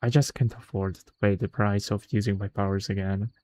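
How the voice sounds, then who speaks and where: A man voicing a soft-spoken, frail male visual novel companion, hesitant and placating. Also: fairly low pitch, flat delivery, fairly quick, natural voice. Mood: soft, weary. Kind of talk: visual novel read-aloud.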